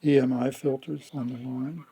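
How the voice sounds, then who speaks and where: A man explaining a hands-on technical repair in a methodical, matter-of-fact way, with a slightly husky, gravelly voice. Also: mid-range voice, steady tone, moderate pace, dark tone. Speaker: hobbyist presenter demonstrating radio repair.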